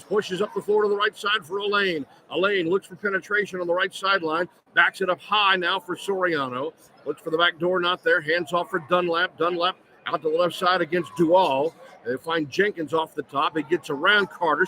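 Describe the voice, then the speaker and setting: Higher-voiced man calling basketball over arena noise. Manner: clipped, running description of the action. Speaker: play-by-play radio announcer. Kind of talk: live basketball radio commentary. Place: indoor sports arena, courtside. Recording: loud arena noise behind. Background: loud ambient arena noise.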